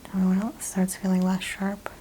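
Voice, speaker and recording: A woman speaking softly, often in a breathy whisper, gently and carefully explaining each step as a chiropractor in an ASMR exam roleplay. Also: medium pitch, level tone, normal speed, a little hiss or hum. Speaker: ASMR host playing a chiropractor examining a patient.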